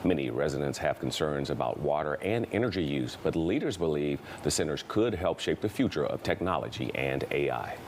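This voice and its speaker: Extremely deep-voiced male news anchor. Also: lively intonation, brisk, few pauses, slight background noise. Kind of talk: scripted news reading.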